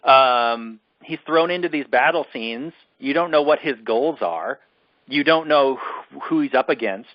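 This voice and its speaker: A man's voice: medium pitch, measured pace, no echo, muffled recording. A man co-hosting a casual film discussion, ranting in an exasperated, emphatic way and repeating himself for emphasis. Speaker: podcast co-host reviewing films.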